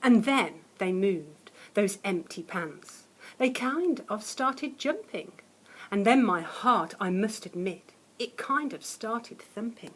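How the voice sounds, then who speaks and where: A woman reading a children's picture book aloud as a teacher would, expressively and dramatically, with hushed suspense and swells of emphasis. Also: medium pitch, expressive, slow pace, husky. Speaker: primary school teacher reading a story to children.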